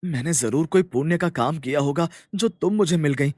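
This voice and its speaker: An earnest, simple young farmer acted by a man in a cartoon folk tale. His voice is fairly high and respectful, recorded close and dry. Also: moderate pace, a little mellow, dry recording, natural voice. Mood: grateful pleading.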